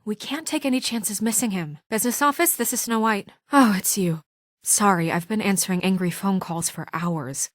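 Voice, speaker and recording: Woman voicing a no-nonsense fairy tale office boss lady for a game, urgent, businesslike, medium pitch, really dry recording. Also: moderate pace, no room sound, close to an everyday voice. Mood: brisk, harried. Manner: businesslike, urgent, matter-of-fact. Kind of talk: naturalistic video game voice acting.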